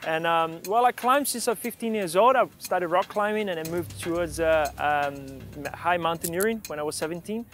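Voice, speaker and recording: Thirty-something man, mountaineer, slightly high voice. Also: moderate pace, hardly any pauses. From born in Argentina, raised in Brazil. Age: 30s. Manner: relaxed, with many fillers and restarts. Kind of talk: documentary interview and voice-over.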